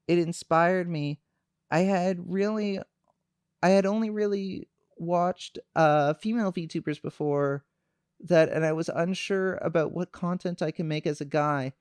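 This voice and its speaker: A young man talks calmly to his stream audience, pausing often with fillers and restarts. His voice sits a little high for a man and sounds smooth and clean, recorded close with a dry, dead sound. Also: dry recording. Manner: calm, soft-spoken, hesitant with many fillers. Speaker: VTuber streamer. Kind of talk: casual livestream chat.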